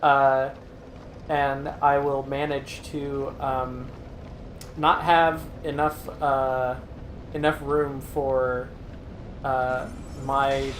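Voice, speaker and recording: A man hosting a let's play, chatting casually and rambling through his thoughts with lots of fillers. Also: mid-range voice. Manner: rambling, many fillers and restarts. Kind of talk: casual gaming chat. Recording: roomy mic with audible noise.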